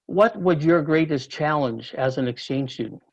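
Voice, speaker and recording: A man's voice: a little high, moderate pace. A man hosting a virtual meeting, introducing speakers politely over a video call. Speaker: meeting host. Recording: dull lo-fi call audio.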